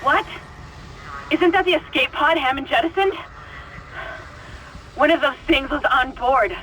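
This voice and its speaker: A woman's voice: high-pitched, unhurried, a bit bright, slight background noise, poor audio. An actress playing a tense, bossy young woman crew member in a video game, urgently giving clipped orders over a radio. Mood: alarmed, urgent.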